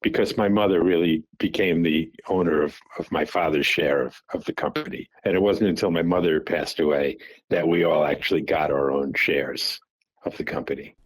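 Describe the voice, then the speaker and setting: A man in his seventies on a phone call, recalling the family business at an unhurried pace, often repeating words and restarting as he remembers. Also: very deep voice, steady tone, breathy edge. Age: 70s. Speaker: father recounting family business.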